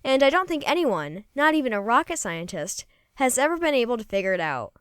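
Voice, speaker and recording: A woman reading a children's story aloud in an expressive, folksy first-person storytelling manner. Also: fairly high pitch, fairly quick, smooth, no room sound, studio quality. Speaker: storybook reader.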